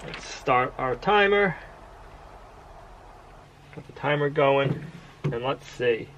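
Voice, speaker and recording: A man's voice: mid-range voice, expressive, slow pace, a bit bright, muffled recording, a little hiss or hum. Chatty man narrating a homestead vlog. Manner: chatty, explains step by step. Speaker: homestead vlogger. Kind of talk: casual how-to vlog narration. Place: outdoors on a farm in winter.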